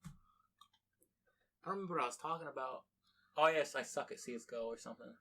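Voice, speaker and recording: A young American man co-hosting a loose podcast conversation, voice in an ordinary middle pitch. He rambles, restarts his sentences and swears freely as he talks. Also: a little fast, a bit bright. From United States. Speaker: podcast co-host. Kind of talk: casual chat.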